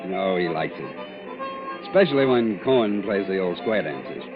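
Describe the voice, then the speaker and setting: Animated, officious aide, vintage radio. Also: male voice, low-pitched, a little slow. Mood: apologetic, confiding. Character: anxious, officious male secretary to a great man. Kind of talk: 1940s radio acting. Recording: tinny old radio broadcast, music behind.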